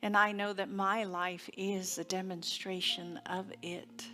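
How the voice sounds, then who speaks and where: Slow-speaking woman minister preaching. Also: medium pitch, flat delivery, sharp tone. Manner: deliberate, reverent, with long pauses. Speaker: minister leading a service. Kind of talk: sermon and guided prayer. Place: church service.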